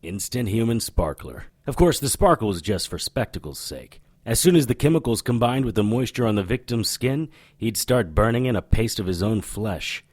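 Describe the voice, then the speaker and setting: A man's voice: fairly low pitch, lively intonation, moderate pace, hardly any pauses, a little mellow, no echo, clean studio sound. A man playing a cocky, sardonic occult detective in a noir-flavored audio drama, showy and needling. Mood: smug, lecturing.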